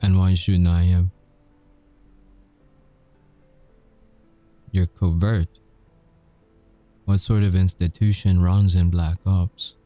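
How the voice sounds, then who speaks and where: A man acts out a bitter, volatile inmate with a deep, dark, mellow voice for an audio roleplay. He is taunting and sarcastic, drawing his words out slowly between dark chuckles. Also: little pitch movement, faint music behind. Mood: mocking, wary. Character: sardonic, volatile male supernatural prisoner, mocking and bitter. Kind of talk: audio roleplay ASMR acting.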